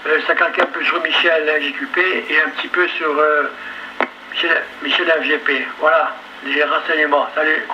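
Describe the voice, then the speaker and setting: A man's voice: on the high side, little pitch movement, normal speed, sharp tone. A man chatting casually as a radio amateur over a two-way radio. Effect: two-way radio transmission. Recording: dull, slightly noisy ham radio relay.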